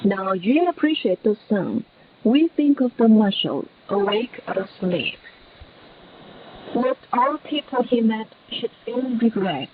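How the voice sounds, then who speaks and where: A woman's voice: a little high, very animated, slow pace. A woman radio announcer reading a script formally and reverently over shortwave radio. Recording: noisy, narrowband shortwave radio.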